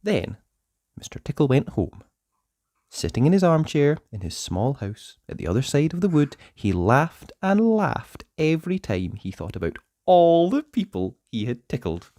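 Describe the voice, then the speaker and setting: Very animated man reading a children's story. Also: fairly high pitch, no echo, studio quality. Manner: playful, dramatic pauses and emphasis. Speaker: storybook narrator. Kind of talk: animated children's read-aloud narration.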